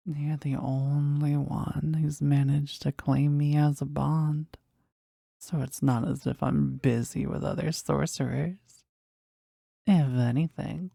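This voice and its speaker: A woman's voice: extremely low pitch, vocal fry, mellow, no echo, clean studio sound, full character voice. A woman voicing a powerful, devoted, possessive demon in an audio roleplay, with formal, intimate phrasing addressed to a master. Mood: longing, pleased. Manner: slow, formal, reverent, intimate delivery. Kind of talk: scripted roleplay audio drama, addressed to the listener.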